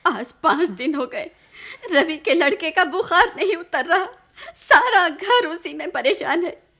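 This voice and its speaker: A woman's voice: extremely high pitch, expressive, unhurried, full character voice. An actress playing an exasperated elderly wife and mother in a radio drama, nagging with sarcasm. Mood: bitter reproach. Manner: sharp-tongued, sarcastic, nagging. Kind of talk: Hindi radio drama acting.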